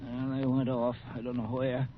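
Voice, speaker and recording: An actor playing a tough, forgiving old injured prospector with weary, faltering, folksy speech in a radio western. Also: male voice, mid-range voice, completely flat delivery, moderate pace, lightly acted. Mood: wistful. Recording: dull old radio recording, faint noise.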